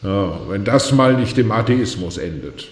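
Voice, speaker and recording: A man's voice: fairly low pitch, expressive, unhurried, a little hiss or hum. Echoing, wry male god played by an actor. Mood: wry, detached. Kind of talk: audio drama dialogue. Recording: echoing, roomy sound.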